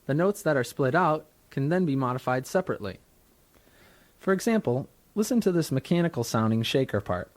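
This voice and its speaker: A man reading scripted instructional narration as a software tutor, in a measured, explanatory manner. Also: mid-range voice, lively intonation. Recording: dry studio narration from a 1990s tutorial cassette.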